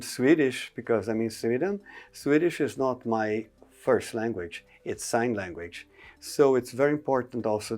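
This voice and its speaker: A man's voice: mid-range voice, a little mellow. A man giving an informational presentation on emergency call rules, explaining step by step with frequent hesitations.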